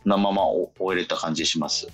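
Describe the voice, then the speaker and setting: Guy radio host, really low voice, chatty and halting, faint music behind. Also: fairly even intonation. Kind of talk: casual radio talk.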